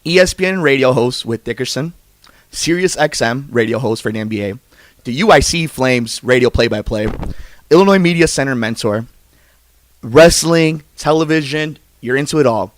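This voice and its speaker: A man's voice: medium pitch, lively intonation, normal speed, no room sound. A man hosting a podcast, speaking in a chummy way as he does promotional reads and casual interviews.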